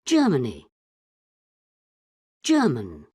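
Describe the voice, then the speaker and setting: A woman with a low, husky voice reads vocabulary words one at a time, very slowly. Each word is drawn out carefully, and her pitch sweeps widely. The sound is dry and close. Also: huge pitch swings, mellow, dry recording. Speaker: vocabulary reader for a language lesson. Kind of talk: reading word lists slowly.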